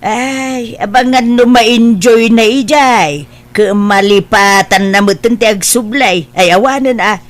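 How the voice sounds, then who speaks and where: A woman's voice: on the high side, takes it slowly. A woman playing a fond, fretful older mother in an Ilocano audio drama.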